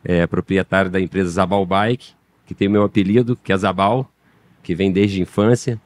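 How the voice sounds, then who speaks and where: A man's voice: sits low, moderate pace, no room sound. A middle-aged man from Minas Gerais with a slight Minas Gerais accent, a podcast guest who owns a bike shop, chatting casually with hesitant restarts. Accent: slight Minas Gerais Brazilian Portuguese. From Minas Gerais, Brazil. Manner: relaxed, hesitant, many restarts. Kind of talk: casual interview chat.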